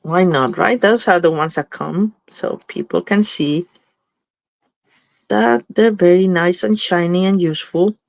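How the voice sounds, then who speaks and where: A woman's voice: fairly low pitch, a little slow, vocal fry, no room sound. A slightly congested woman chatting casually as she demonstrates card making, thinking aloud while she works. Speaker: craft demonstrator. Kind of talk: live crafting demo chat.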